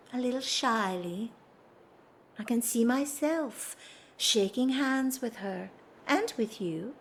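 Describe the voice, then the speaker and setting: An actress playing a dignified married society woman in love, speaking formally and earnestly in a period audio drama. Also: fairly high pitch. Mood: wistful, resigned. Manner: formal, earnest, theatrical, measured delivery.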